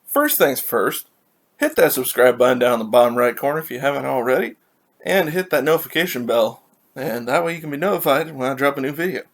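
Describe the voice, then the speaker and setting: A man from California hosting a casual solo hockey recap, rambling and correcting himself as he reads scores and stats, a little raspy from allergies. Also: medium pitch, animated, a little fast.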